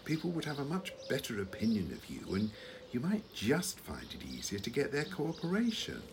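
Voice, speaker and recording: A man acting in an audio play as a long-suffering, placid retired British husband, older, with a dry, reasoning, gently needling manner. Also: medium pitch, huge pitch swings, moderate pace, background noise.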